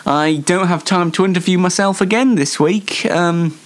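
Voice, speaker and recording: A man's voice: high voice, moderate pace. A young man hosting a comedy web show, chatting casually to viewers in a rambling, hesitant, self-deprecating way with dry mock indignation. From Yorkshire, England.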